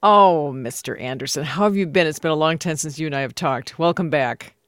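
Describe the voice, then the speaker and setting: A woman's voice: deep voice, a little fast, fairly bright tone, dry recording, studio quality. A woman hosting a broadcast interview, moving between scripted introductions and questions. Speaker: radio host.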